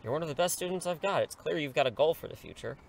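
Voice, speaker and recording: A man's voice: on the high side, lively intonation, very fast, gravelly, slight background noise. An actor in an audio drama playing an earnest, persuasive teacher in his thirties who is secretly a superhero, pleading and wryly self-deprecating. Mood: reassuring, persuasive. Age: 30s.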